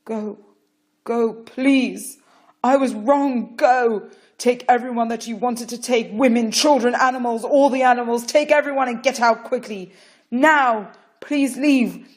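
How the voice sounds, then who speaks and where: A woman's voice: on the high side, measured pace, pitched above the performer's own voice, natural voice. A woman reading a story voices a broken, desperate male king who cries out and begs frantically. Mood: desperate begging. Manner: weak, then frantic crying out.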